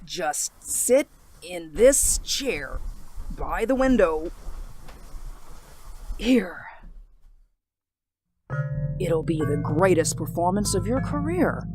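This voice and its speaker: An actor playing a bitter, vengeful middle-aged stage actor in an old radio drama, theatrical and gloating, dropping into quiet menace. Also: male voice, high-pitched, big pitch swings, very slow, long pauses, music in the background, exaggerated voice. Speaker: villain. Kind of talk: 1940s radio acting.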